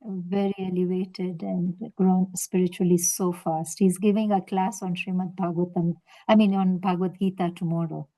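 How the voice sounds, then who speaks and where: A woman's voice: on the low side, flat delivery, normal speed, few pauses, warm tone, low-quality recording. A woman in her sixties organizing a devotional group call, speaking with proud encouragement. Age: 60s. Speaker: session organizer. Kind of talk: casual group video call.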